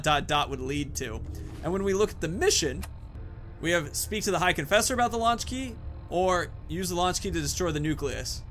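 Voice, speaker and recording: A male gamer with a fairly high, bright voice gives casual let's play commentary. He thinks aloud informally, with faint noise in the background. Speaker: gaming video host.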